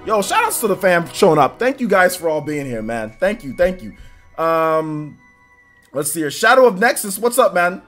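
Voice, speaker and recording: A high-voiced man hosts a casual livestream, greeting viewers by name in quick, upbeat bursts. His nose is a little stuffy, and faint music plays under his voice. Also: big pitch swings. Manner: upbeat, rapid shout-outs, laughs often. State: slightly stuffy nose after illness. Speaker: livestream host. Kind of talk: casual livestream chat, reading out viewer names.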